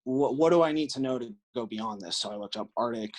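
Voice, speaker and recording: A man's voice: medium pitch, a little fast. American man explaining things earnestly. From Illinois, United States. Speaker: podcast guest. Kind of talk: conversational interview answers.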